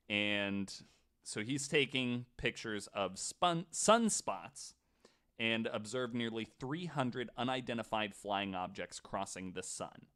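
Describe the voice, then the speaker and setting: A man co-hosting a podcast, telling a story casually in a skeptical, wry, somewhat halting way. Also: medium pitch, fairly bright tone, clear, resonant tone. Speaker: podcast co-host.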